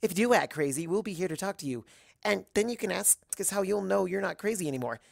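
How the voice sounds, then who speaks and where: A scatterbrained young mare put on by a man for a story. She is bubbly and excitable, rattling along quickly in a high voice. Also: gravelly, no echo, clean studio sound, exaggerated voice. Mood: chirpy, reassuring. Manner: rambling, excitable. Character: bubbly, scatterbrained, loyal young female pony.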